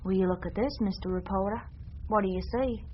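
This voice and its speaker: Woman acting trusting young female dreamer, radio drama, folksy, earnest, raspy edge, slightly muffled recording. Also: medium pitch, a little fast, close to an everyday voice. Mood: defiant, earnest. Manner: plain folksy speech, insistent and earnest. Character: trusting, stubborn, devout one-legged young female dreamer.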